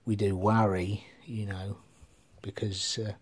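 A man's voice: fairly low pitch, little pitch movement, slow pace, darkish tone. A middle-aged man rambling casually to his phone camera in a living room, swearing freely and trailing off. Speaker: vlogger. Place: living room with bookshelves, indoors. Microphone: phone camera held at arm's length.

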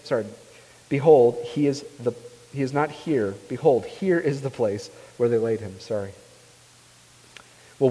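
A man's voice: mid-range voice, mellow. A young man preaching a sermon as pastor, earnest and emphatic, often asking his listeners "right?". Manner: earnest, rising emphatically on key phrases, often asks "right?". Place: church sanctuary, large room. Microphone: far camera, speaking at a pulpit. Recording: long reverb of a church hall.

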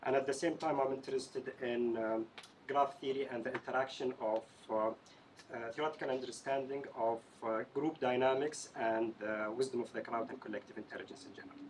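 A man's voice: medium pitch, steady tone, fairly quick, background noise. A young man, a PhD student, introducing himself at a seminar table with many hesitations. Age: young adult. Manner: earnest, many uh fillers. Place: conference room around a table.

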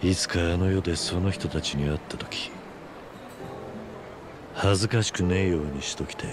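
A stoic, hardened yakuza in middle age , acted by a man for a video game. His voice sits low and he talks in terse, rough, masculine phrases. Dramatic music plays beneath him. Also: moderate pace, lightly acted. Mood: somber, resolute. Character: stoic, gruff middle-aged legendary male yakuza living in hiding.

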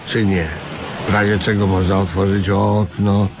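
An actor playing a dim, stubborn, literal-minded man in a comedic radio play, halting and deadpan. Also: lowish voice, lightly acted. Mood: smug, contrary. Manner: halting, deliberate, obtuse deadpan. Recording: noisy old radio play recording.

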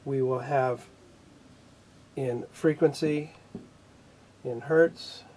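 A man in his fifties giving a technical lecture in a measured, explanatory way with pauses mid-sentence, his voice slightly husky. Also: mid-range voice, steady tone, mellow, a little hiss or hum. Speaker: instructor, R&D manager. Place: indoor video studio with whiteboard.

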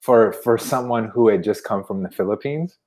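Low-voiced man in casual conversation. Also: moderate pace, a little mellow. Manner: informal, anecdotal, frequent "you know". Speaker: podcast guest. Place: indoor room at home, video call. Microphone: wired earbuds on a video call.

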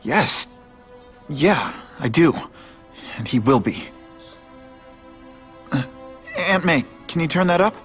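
An actor playing an earnest young hero, casual and a little wry, brooding at times but quick with a quip. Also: male voice, a little high, animated, a bit bright, faint music behind. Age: young adult. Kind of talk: naturalistic game cutscene acting.

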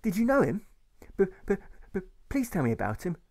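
A man's voice: high-pitched, huge pitch swings, fairly quick, a little mellow, pitched above the performer's own voice, exaggerated voice. A man voicing a timid young boy who stutters and begs to hear about his father. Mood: pleading, eager.

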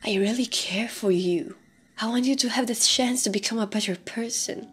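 A woman's voice: on the high side, moderate pace, close to an everyday voice. A woman voicing a troubled, withdrawn human teenager in a dramatic comic dub, speaking in an earnest, pleading manner. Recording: background music under the voice. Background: music.

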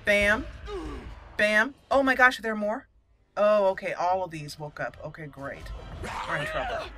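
A woman's voice: medium pitch, a little slow, music in the background. A woman hosting a casual let's play, chatty and reactive, thinking aloud as she plays. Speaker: gaming channel host.

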